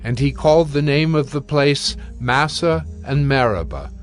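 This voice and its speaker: A male narrator reads scripture from a script, solemn and measured. His voice sits at a middle pitch, with a music bed behind it. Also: lively intonation.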